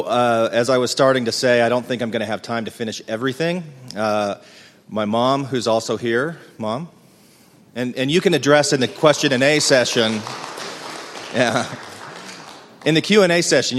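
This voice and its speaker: Rambling man presenting in a reverberant hall. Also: medium pitch. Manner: rambling, hesitant, self-deprecating. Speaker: conference presenter. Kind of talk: casual conference talk. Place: conference room.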